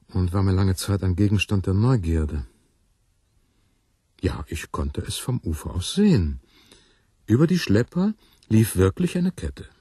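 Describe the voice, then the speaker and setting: A man reading a literary childhood recollection for radio in a musing, measured way. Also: on the low side, dark tone, breathy edge, no room sound, studio quality.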